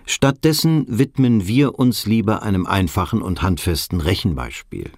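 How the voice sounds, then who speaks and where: A pedantic male narrator gives mock-academic documentary narration. His voice is fairly low, formal and precise, recorded very dry and close. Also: animated, normal speed, a little mellow, dry recording. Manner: formal, matter-of-fact, precise.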